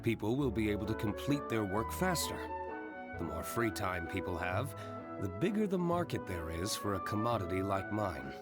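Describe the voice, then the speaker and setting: An actor playing a composed, serious young gentleman winery owner in a video game, measured, formal and reserved. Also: lowish voice, sing-song. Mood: composed, explaining. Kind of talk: video game cutscene dialogue. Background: game music.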